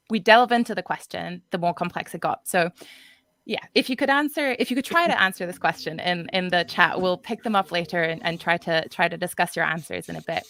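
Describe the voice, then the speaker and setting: A woman's voice: lowish voice, a bit bright, no echo. A woman moderating a livestreamed panel over a video call, talking casually and hesitantly with many fillers. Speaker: podcast co-host and event moderator.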